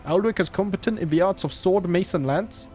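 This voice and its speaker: A man's voice: high-pitched, a little fast, a little mellow, faint music behind. A man with a sore throat, chatting casually and joking as he plays a strategy game. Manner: chatty, joking, thinks aloud. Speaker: gaming channel host. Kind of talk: casual let's play commentary.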